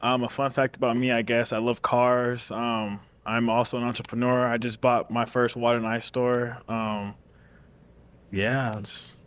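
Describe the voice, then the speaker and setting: A man's voice: medium pitch, little pitch movement, a little slow, smooth, no room sound. A man introducing himself on a dating show, hesitant, with many fillers.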